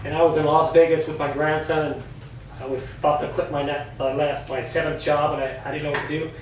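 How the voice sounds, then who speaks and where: A middle-aged man telling his own story in an earnest, candid way, with a voice pitched a little high. He sounds distant from the mic in a strongly echoing room. A faint noise hangs underneath. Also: little pitch movement, brisk. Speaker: guest, retired Army veteran. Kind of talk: casual personal story.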